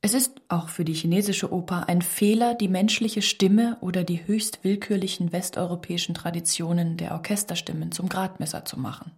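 A woman with a fairly low voice delivers scripted literary narration. Her reading is formal and measured, each statement set out deliberately. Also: steady tone, a little mellow. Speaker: reader of a literary radio text.

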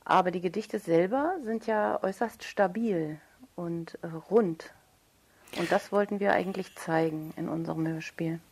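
A woman's voice: fairly low pitch, steady tone, normal speed, darkish tone. A woman speaking as an interviewed writer, thoughtful and articulate, searching for precise wording as she reflects on literature. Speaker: writer interviewed about own radio play.

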